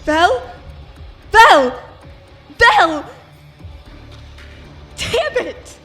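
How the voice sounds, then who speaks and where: Woman acting an intense young anime heroine, slow-spoken. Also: very high voice, sing-song, pushed and bright, faint music behind, exaggerated voice. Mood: desperate. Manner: intense, dramatic. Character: young woman fighter, daughter with dangerous power. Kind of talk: anime fan-fiction voice acting.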